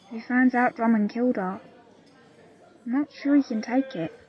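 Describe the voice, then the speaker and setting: A level-headed young pirate woman in an audio play. Her voice is fairly high, firm and protective, heard on a slightly dull recording. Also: normal speed, husky. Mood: concerned, dry. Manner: firm, concerned. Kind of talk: theatrical audio drama dialogue.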